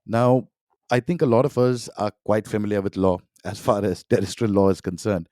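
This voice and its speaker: A man's voice: fairly low pitch, steady tone, normal speed, warm tone, no echo, clean studio sound. A man hosting a podcast, welcoming a guest with an enthusiastic, effusive introduction and asking long questions. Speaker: podcast host.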